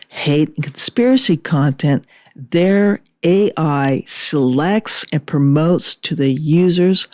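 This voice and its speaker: A woman delivering scripted political commentary in a measured, deliberate way with emphatic phrasing. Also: sits low, darkish tone. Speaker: video commentator.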